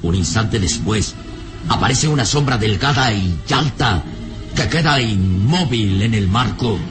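An actor as the storyteller of an adventure radio serial, narrating in a theatrical, suspenseful, carefully enunciated way. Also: male voice, on the low side, lively intonation, a little slow, music in the background. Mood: tense.

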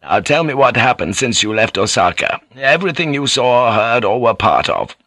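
A man's voice: mid-range voice, very animated, normal speed, bright, forceful tone, dry recording, studio quality, full character voice. A man voicing an old warlord who talks bluntly, testily and wearily. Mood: gruff. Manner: blunt, testy, weary. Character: old male warlord, shrewd, gruff and testy. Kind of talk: audiobook character voice.

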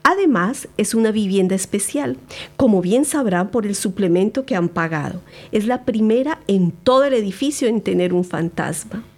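A woman playing a chatty, business-minded flat-letting agent in an audio drama, with a glib, salesy manner. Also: mid-range voice, moderate pace. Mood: scheming sales patter.

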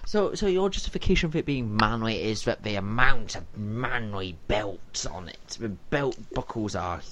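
A man's voice: fairly low pitch, animated, moderate pace, a bit bright. A man co-hosting a casual podcast chat, bantering playfully and laughing often. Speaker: podcast co-host.

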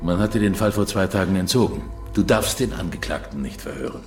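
A man's voice: low voice, lively intonation, a little fast. An actor playing a tough, menacing Soviet policeman in a German radio drama, hard and mocking. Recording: echoey room, music behind. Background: music.

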